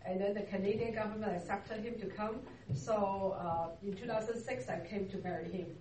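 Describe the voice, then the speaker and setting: A woman speaks at a medium pitch, slowly and haltingly, pausing often to find her words. She is picked up from some distance in an echoey church hall with clear background noise around her. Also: fairly even intonation, mellow. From Hong Kong. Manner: unhurried, halting, searching for words. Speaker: interview guest, church ministry worker. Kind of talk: casual interview. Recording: echoey room, distant mic, noisy.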